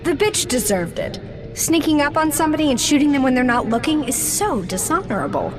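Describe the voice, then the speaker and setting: An actress playing a confident, sharp-tongued ally in a video game, matter-of-fact and snarky. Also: female voice, high-pitched, big pitch swings, fairly quick, over music. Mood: scornful. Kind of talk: video game cutscene acting.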